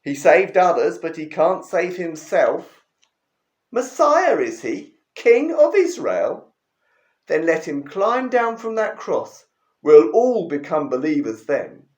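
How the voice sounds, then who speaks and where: A man voicing a loud jeering mob. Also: fairly high pitch, big pitch swings, takes it slowly. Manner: loud taunting, sneering. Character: jeering mocking crowd of men. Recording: roomy echo.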